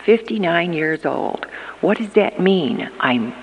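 A woman in her fifties giving an earnest talk at a church gathering, emphatic and often pausing with hesitations. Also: fairly low pitch, lively intonation, gravelly, highs cut off, slight background noise. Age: 50s. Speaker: church conference speaker. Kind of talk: prepared talk on aging and ministry. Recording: long reverb of a large hall.

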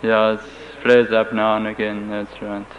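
A man with a German accent, German being his first language, answering interview questions in a halting, careful way with frequent restarts, on a dull lo-fi tape with faint hiss. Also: fairly low pitch, completely flat delivery.

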